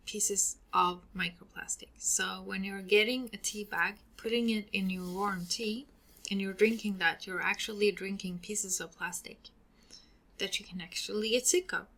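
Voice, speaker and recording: A woman from Sweden with a Swedish accent, hosting a solo podcast and giving earnest advice, often trailing off into breathy ends. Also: mid-range voice, bright, forceful tone. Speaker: podcast host.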